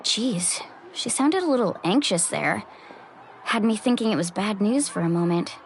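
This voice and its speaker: A woman's voice: medium pitch, expressive, moderate pace, slight background noise, natural voice. A woman playing a friendly, easygoing young guide in a video game, with a breezy, casual and reassuring manner. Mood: concerned, relieved. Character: Mualani, friendly young female water-tribe guide, easygoing and upbeat. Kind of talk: video game character acting.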